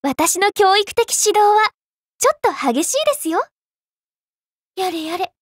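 A woman's voice: extremely high pitch, unhurried, no echo, clean studio sound. A woman voicing a stern, polite young female teacher and fighter, speaking formal, composed, admonishing battle lines for an anime game.

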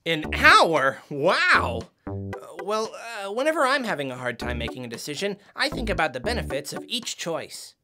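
A woman's voice: on the low side, sing-song, normal speed, music in the background. A woman voicing a small fuzzy puppet friend in a kids' show, patient, sensible and encouraging as she explains things. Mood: startled. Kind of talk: children's puppet show dialogue.

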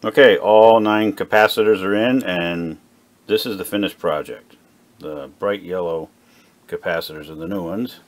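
A man giving a casual, unscripted narration at a workbench, plain-spoken and halting as he thinks aloud like a hobbyist repair vlogger. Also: low-pitched. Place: indoor home workbench. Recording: clear background noise, workshop ambience.

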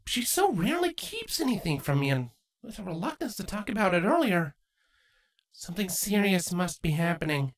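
A woman voicing a bookish, excitable young female changeling princess in a storybook reading, earnest and easily flustered. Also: fairly low pitch, moderate pace, voice pushed up. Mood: worried.

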